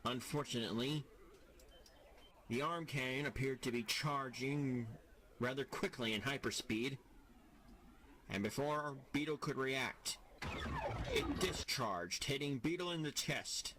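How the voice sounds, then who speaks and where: A man narrating an audio drama from a script in a deliberate, dramatic storytelling manner. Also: mid-range voice, lively intonation, bright, forceful tone, a little hiss or hum, low-quality recording.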